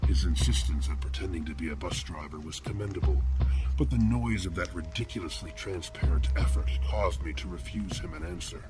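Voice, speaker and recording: An actor playing a cold, menacing killer who narrates his own horror tale in formal, ornate, measured language. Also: deep voice, music in the background, close to an everyday voice, rough recording. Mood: wry disdain. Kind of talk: scripted first-person horror narration.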